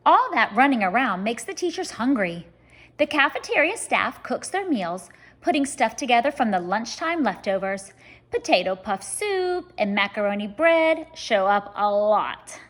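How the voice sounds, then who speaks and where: A woman reading a children's story aloud like a teacher, expressive and speaking straight to young listeners. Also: mid-range voice. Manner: expressive, addressing children directly.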